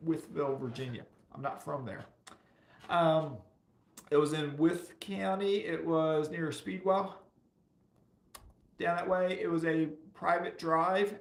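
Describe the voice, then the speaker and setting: A man in his fifties hosting a casual finance livestream, chatting with viewers and stressing key points by repeating them, his voice slightly hoarse. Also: on the high side. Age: 50s. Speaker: personal finance livestream host. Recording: roomy, echoey sound.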